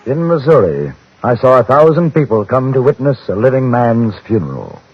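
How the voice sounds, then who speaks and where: A reporter narrating a Western radio drama. His voice is grave, composed and measured. The old recording is dull, with music behind. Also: medium pitch, big pitch swings. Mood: grave, reflective. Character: composed English newspaper correspondent adventuring in the Old West. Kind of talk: 1950s radio drama, first-person narration.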